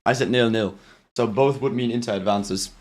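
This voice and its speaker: A man's voice: mid-range voice, moderate pace, a little mellow. A man with a German accent talks through a live football reaction stream. He chats loosely, stops and restarts sentences, and thanks viewers as their messages come in. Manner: chatty, reads out chat messages, many restarts. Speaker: livestream host reacting to a match. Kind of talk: casual live reaction chat.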